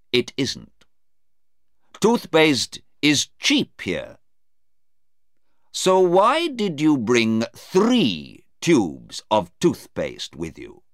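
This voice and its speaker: A man playing a sarcastic, relentless prosecuting lawyer in an audiobook, deliberate and insistent. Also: mid-range voice, no room sound, studio quality, pitched above the performer's own voice, exaggerated voice. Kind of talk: audiobook character voice.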